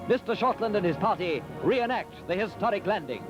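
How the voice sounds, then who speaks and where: A man's voice: high-pitched, expressive, fairly quick. A man reading formal, declamatory, celebratory 1940s newsreel narration on an old, dull soundtrack over music.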